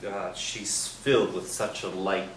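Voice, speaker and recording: An actor in a staged play reading playing a mild, bashful priest, polite and hesitant, gently eager to help. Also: male voice, deep voice, fairly even intonation, measured pace. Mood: bashful, smitten. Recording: echoey stage, distant mic, noisy room.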